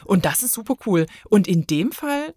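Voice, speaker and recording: A woman's voice: mid-range voice, big pitch swings, normal speed, a little mellow, dry recording, studio quality. A woman chatting casually as a guest reviewer, rambling and circling back mid-sentence as she gives her opinion. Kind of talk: casual chat, giving opinions.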